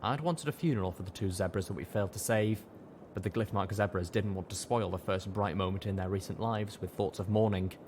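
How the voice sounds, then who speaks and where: A man with a fairly low voice reading a fan-fiction audiobook in first person, steady and dramatic. A faint hiss of noise sits under the reading. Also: a little fast. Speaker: audiobook reader.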